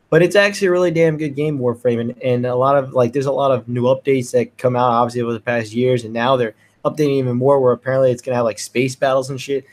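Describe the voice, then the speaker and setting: Casual, sweary man with a mid-pitched voice. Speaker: podcast panelist. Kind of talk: casual chat.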